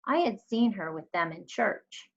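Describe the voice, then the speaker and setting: A woman narrates a children's novel with expressive read-aloud delivery. Her voice sits at an ordinary middle pitch. The recording is slightly dull and lo-fi. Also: a little slow, vocal fry.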